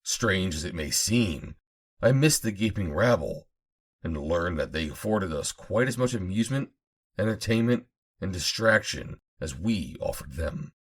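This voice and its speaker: A man reading an adventure novel aloud as an audiobook narrator, in a measured, formal storytelling manner. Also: sits low, lively intonation, slightly rough. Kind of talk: dramatic scripted narration of an old adventure novel.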